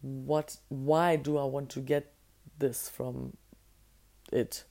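Woman podcaster, deep hoarse voice, gravelly and dark, reflective and searching for words. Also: level tone, slow pace. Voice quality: naturally deep voice. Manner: reflective, musing aloud with searching restarts. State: hoarse, voice strained from singing. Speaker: podcast host. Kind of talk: casual solo podcast monologue.

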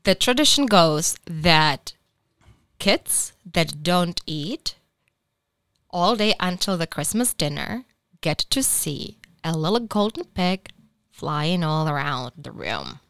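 This woman from the Czech Republic co-hosts a studio podcast and explains traditions patiently. Her voice is bright and smooth, a little low in pitch, and recorded dry and close. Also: very animated, slow, no room sound. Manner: explanatory, telling about traditions. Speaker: podcast co-host. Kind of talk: casual podcast chat.

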